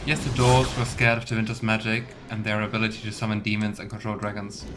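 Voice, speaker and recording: A man's voice: fairly low pitch, monotone, fast talker, loud music behind the voice. A man giving casual, musing let's play commentary with wry teasing asides. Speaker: game streamer.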